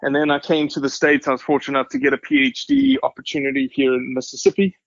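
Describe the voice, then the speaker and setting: A man's voice: fairly high pitch, sing-song, fast talker, vocal fry, dry recording, lo-fi sound. A South African man in his forties talking casually as a podcast guest, humble and dryly joking. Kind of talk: casual interview storytelling.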